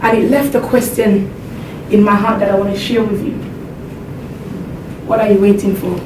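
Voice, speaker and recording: A woman's voice: little pitch movement, moderate pace. A woman from Jamaica giving an earnest motivational talk to a seminar audience in a room. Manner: earnest, laughs along with the audience. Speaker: motivational speaker. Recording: echoey hall, distant mic, background rumble.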